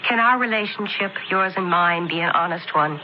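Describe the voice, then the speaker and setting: A woman's voice: fairly low pitch, normal speed, close to an everyday voice. An actress playing a proud, vindictive young noblewoman of ancient times in a radio drama, speaking formally and commandingly. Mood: pointed. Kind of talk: old-time radio drama acting. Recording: dull, noisy old radio broadcast.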